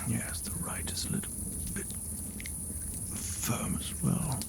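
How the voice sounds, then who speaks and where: A man playing a gentle, caring mage in an ASMR fantasy roleplay, speaking softly and soothingly and often dropping toward a whisper. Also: slow pace, heavy background noise, close to an everyday voice. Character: gentle, caring male mage healing a weary traveler. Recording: faint nighttime cricket ambience.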